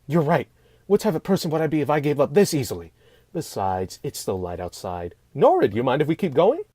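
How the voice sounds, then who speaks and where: A woman's voice: low voice, sing-song, brisk, mellow, husky, no echo, clean studio sound, full character voice. A woman playing a cheerful, mysterious teenage boy who leads adventures, upbeat and theatrical, in an amateur script read. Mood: reassuring.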